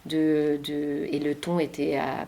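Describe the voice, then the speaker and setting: Woman, Finnish accent, non-native speaker, very low pitch, halting delivery, faint background noise. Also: completely flat delivery, vocal fry, warm tone. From Finland. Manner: hesitant, many fillers and restarts. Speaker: interviewed author and journalist. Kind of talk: public talk at a library. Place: library meeting room.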